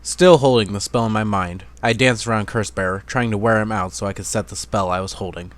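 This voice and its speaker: A man's voice: lowish voice, fairly quick. A man narrating fantasy fan fiction as an amateur dramatic reading.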